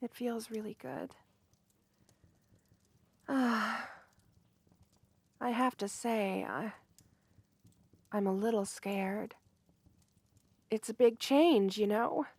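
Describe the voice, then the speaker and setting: A woman playing a shy, sweet, lovestruck young woman in an intimate ASMR romance roleplay, gentle and flustered, often hesitating mid-phrase. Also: fairly high pitch, drawn-out words, pauses often, husky, dry recording, full character voice. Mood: soft, nervous.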